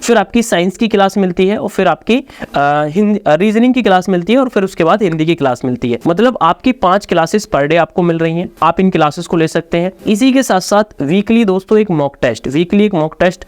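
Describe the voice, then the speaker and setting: High-pitched, clear-voiced man coaching students. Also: no room sound. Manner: repetitive, exhorting, addresses students directly. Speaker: exam coaching teacher and channel host. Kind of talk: informal exam update and preparation advice to students.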